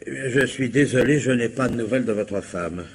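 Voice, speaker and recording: An actor playing an excitable, anxious, bumbling police commissioner in a radio comedy, flustered and stammering. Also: male voice, mid-range voice, normal speed, husky. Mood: earnest, deflated. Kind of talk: French radio comedy-mystery acting.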